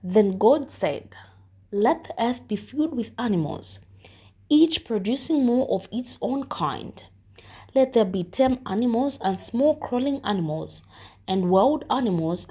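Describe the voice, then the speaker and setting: A woman's voice: a little high, lively intonation, a little slow, dark tone, breathy edge. A woman reading scripture aloud as scripted narration. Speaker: volunteer scripture reader.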